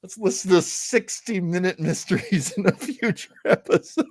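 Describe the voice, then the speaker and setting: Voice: medium pitch, moderate pace, a little mellow, dry recording. A host chatting casually on a livestream in an effusive, playful and theatrical way. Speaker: podcast host.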